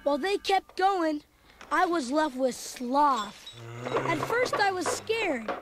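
A performer playing an excitable young boy who tells his adventure as animated, dramatic read-along storybook narration. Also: high-pitched, slow pace. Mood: excited storytelling. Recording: loud music under the voice. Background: loud dramatic music.

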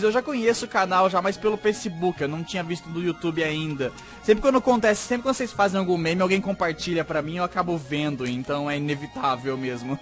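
High-pitched young Brazilian man, casual. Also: fairly bright tone. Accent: Brazilian Portuguese. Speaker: YouTube host reading fan mail. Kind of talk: casual chat, reading letters aloud. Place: small home room with green screen, indoors. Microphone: desk microphone with foam cover, close.

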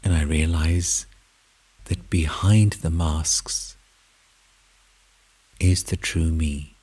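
Very deep, breathy man guiding meditation. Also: fairly even intonation, darkish tone. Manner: measured, in short phrases with long pauses. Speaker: meditation guide. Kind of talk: guided meditation narration.

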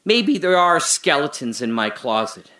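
An actor playing a guilt-ridden trapped man in an audio drama monologue, halting and intense, confessing and pleading. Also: a little high, sing-song, heavily acted. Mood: guilty, hushed. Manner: halting, intense, swinging from hushed confession to anguished cries.

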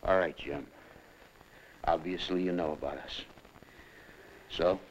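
An American actor playing a troubled, middle-aged consulate employee under questioning, hesitant and defensive, in an old film. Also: male voice, deep voice, steady tone, slow pace, rough recording. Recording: old film soundtrack with hiss.

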